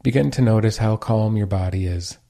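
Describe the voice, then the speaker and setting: Mellow low-voiced man guiding meditation. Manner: calm, gentle, unhurried instruction. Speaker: meditation teacher. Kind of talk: guided meditation narration.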